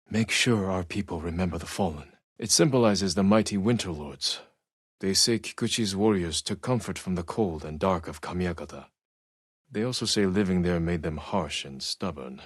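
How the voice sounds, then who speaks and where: An honorable samurai warrior from a video game, solemn and reflective. His voice is deep and rough-edged, recorded close and very dry. He speaks with restraint, like a stoic man carrying grief. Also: dry recording. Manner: restrained, solemn, measured delivery. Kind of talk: dramatic video game acting, reflective monologue.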